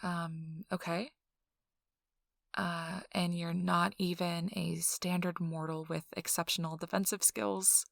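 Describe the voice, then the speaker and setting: A woman playing a friendly, chatty intake interviewer in a soft ASMR role-play, explaining things politely and informally. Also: on the low side, flat delivery, takes it slowly, vocal fry, no echo, clean studio sound. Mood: matter-of-fact. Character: friendly, chatty female intake interviewer at a vampire blood donation center.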